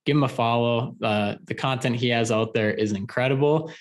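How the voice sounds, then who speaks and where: A man's voice: mid-range voice, normal speed. A man hosting a construction podcast, enthusiastic and conversational, often repeating words as he starts his sentences. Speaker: podcast host. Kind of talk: upbeat interview hosting.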